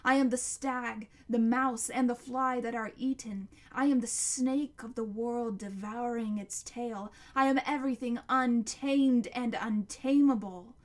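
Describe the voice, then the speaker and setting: Woman voicing an ancient tree monster, slow and grand. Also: medium pitch, darkish tone. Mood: roaring, proud. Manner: grand, slow, declamatory, building to a roar. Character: ancient towering tree monster, proud, menacing, grandly commanding.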